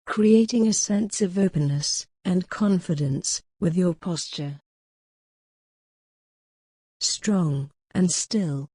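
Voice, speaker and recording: Female meditation guide, lowish pitch, very unhurried and measured, close dry sound. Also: husky, no echo. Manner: measured, instructional, gently pausing between phrases.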